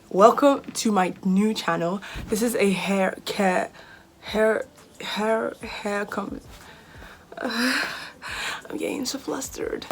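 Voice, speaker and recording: A woman's voice: medium pitch, a little hiss or hum. Chatty young British-accented woman. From Nigeria. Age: 20s. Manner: chatty and lively, sometimes flustered and rambling. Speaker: beauty vlogger. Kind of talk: casual chat to camera.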